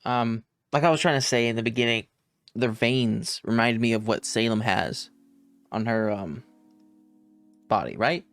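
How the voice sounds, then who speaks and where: A man's voice: medium pitch, measured pace, pauses often, a bit bright, no room sound, soft background music. A young adult man giving casual, unscripted reaction commentary as host of a video.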